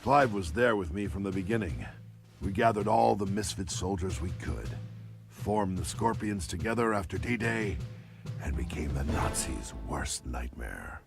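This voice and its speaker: An actor playing a middle-aged American army captain, a grizzled veteran with a gravelly voice, terse, blunt and hard-boiled in a dramatic video game. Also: male voice, deep voice, animated, unhurried, music in the background, full character voice. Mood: grim recounting. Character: grizzled, aging war veteran captain, blunt and defiant Nazi-killer. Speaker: soldier narrating his war story.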